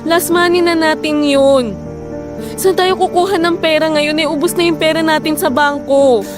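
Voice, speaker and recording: A woman's voice: high-pitched, fairly even intonation, normal speed, music in the background, lightly acted. A woman playing a weary, frustrated wife who worries over household money in a Filipino audio drama. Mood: upset, despairing. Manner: complaining, pleading, emotive.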